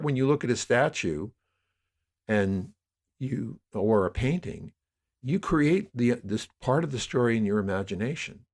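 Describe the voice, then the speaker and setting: A man speaking as an interview guest, explaining at length in a discursive, emphatic storytelling way. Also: medium pitch. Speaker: podcast guest, audio drama producer. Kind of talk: conversational interview, explaining media history.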